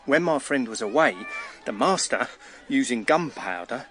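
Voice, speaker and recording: Actor as mid-pitched, plain-spoken male watchman. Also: lively intonation, moderate pace, a bit bright, natural voice. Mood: eager storytelling. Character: matter-of-fact, talkative working man, a night-and-day watchman. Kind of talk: radio drama acting.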